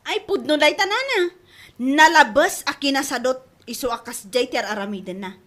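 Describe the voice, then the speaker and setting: A woman's voice: high voice, animated, moderate pace, fairly bright tone. A woman with an Ilocano accent acting as a gossipy, exasperated complainer in a radio comedy drama, incredulous and scornful.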